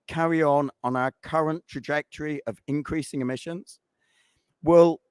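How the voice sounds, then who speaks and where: A man with a croaky, hoarse voice speaking on a panel, hesitant with many fillers and restarts. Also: fairly high pitch, dry recording. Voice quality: croaky, losing his voice. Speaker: scientist presenting at a panel. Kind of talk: panel talk.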